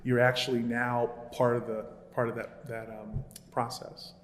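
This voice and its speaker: A man's voice: medium pitch, flat delivery, unhurried. Earnest man speaking in an echoey gallery. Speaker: archive curator and panelist. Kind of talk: public panel talk. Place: gallery event space. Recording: echoey gallery room with long reverb.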